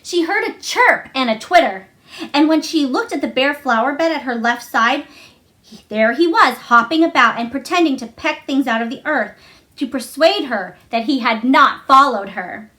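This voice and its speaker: A woman's voice: sits high, expressive, normal speed, bright, forceful tone. A woman narrating a children's novel aloud as a storybook reader.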